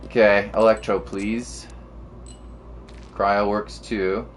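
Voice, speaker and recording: A man's voice: fairly low pitch, level tone, takes it slowly, a little hiss or hum. A man giving casual gameplay commentary to livestream viewers, slangy and musing aloud to himself, with game music playing behind him. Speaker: game streamer.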